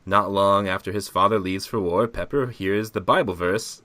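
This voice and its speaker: A man's voice: low voice, moderate pace, pushed and bright, no echo. A man bantering casually as a podcast co-host, joking and often laughing. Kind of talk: casual banter and halting reading aloud.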